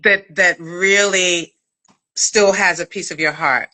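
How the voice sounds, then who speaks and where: Middle-aged woman, unhurried pace, bright forward voice, effusive, chatty host. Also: on the low side, steady tone, poor audio. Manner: effusive, affectionate. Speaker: podcast writer-director hosting a live chat. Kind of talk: casual chat.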